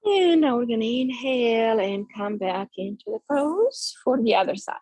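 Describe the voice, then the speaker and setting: A woman guiding a yoga class with slow, soothing, drawn-out cues. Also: fairly high pitch, lively intonation, darkish tone, husky, dry recording, poor audio. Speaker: yoga instructor. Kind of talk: guided yoga class instruction.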